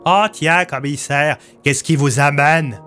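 A man's voice: a little high, steady tone, slow pace, bright, forceful tone, soft background music. A man voicing a naive, dim-witted, chatty police sergeant in an audio story, hesitant and guileless. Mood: innocent, surprised.

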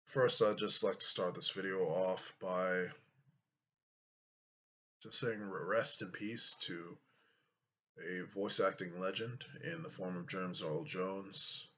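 A man in his thirties with a deep voice, talking to his webcam in a casual vlog from a small room, unhurried and thoughtful, with many fillers. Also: fairly even intonation, fairly bright tone. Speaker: YouTuber.

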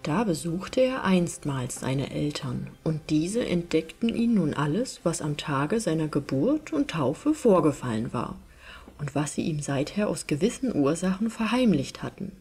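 A woman's voice: sits low, big pitch swings, a little slow, husky. A woman reading a fairy tale aloud as scripted audiobook narration. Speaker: storyteller, audiobook reader. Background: soft music.

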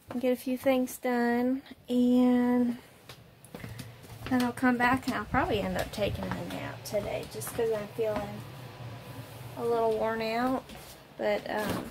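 A woman's voice: on the high side, noisy background. Congested, tired woman vlogging. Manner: chatty, rambling, many fillers. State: congested, nasal. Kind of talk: casual chat to camera. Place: home kitchen and parked car. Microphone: phone at arm's length.

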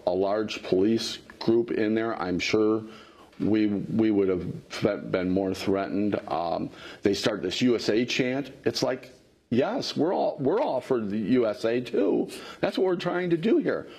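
A middle-aged man, a factory worker, answering interview questions in an earnest way that rises in pitch when he gets indignant, recorded on a lapel mic in a studio. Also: expressive, unhurried, a little mellow, breathy edge. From Illinois. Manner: earnest, grows heated and higher when indignant. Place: television studio.

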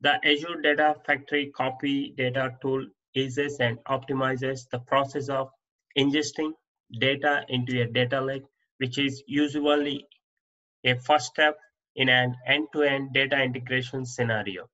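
A man teaching as an instructor, reading interview answers off slides in a plain, steady way with small restarts. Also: medium pitch, normal speed, dull audio. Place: voice-over on slide presentation.

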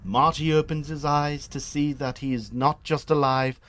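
A man's voice: fairly high pitch, steady tone, moderate pace, fairly bright tone, a little hiss or hum. A man with a Northern Irish accent narrating a scripted radio drama as a storyteller.

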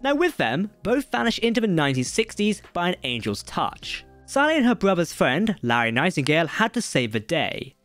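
Male narrator, high and very lively, faint music under the voice-over. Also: normal speed, few pauses. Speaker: video narrator. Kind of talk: scripted fan-channel narration.

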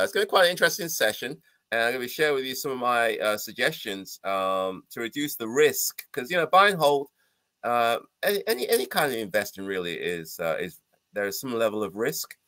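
Middle aged guy coaching to webcam, lowish crisp bright voice, bit of a sore throat, chatty and halting. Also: dry recording. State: sore throat, slightly under the weather. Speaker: host, real estate investing coach. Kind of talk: informal online lesson to camera.

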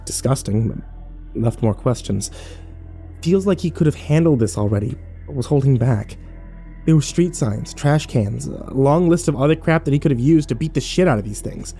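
Casting: male actor as sardonic young survivor narrating horror drama, mellow mid-range voice, faint music bed. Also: mid-range voice, animated, moderate pace, natural voice. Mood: tense narration. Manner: wry, sweary, self-deprecating. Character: sarcastic, battered young man telling his own nightmare. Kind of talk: first-person horror audio drama narration.

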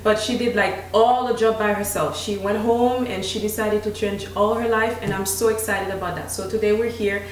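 Haitian lady nutritionist chatting in a kitchen, lively, laughs a lot, echoey. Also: medium pitch, normal speed, hardly any pauses, a little hiss or hum. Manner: animated, laughs often, restarts sentences. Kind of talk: casual chat. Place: home kitchen, indoors. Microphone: desk mic on tripod, camera at a distance. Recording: echoey room, voice from a distance.

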